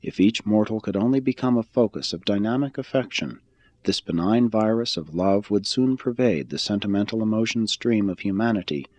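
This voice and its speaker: A man reading a religious text aloud as scripted narration, in a measured, formal and solemn manner with deliberate phrasing. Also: on the low side, lively intonation, a little mellow.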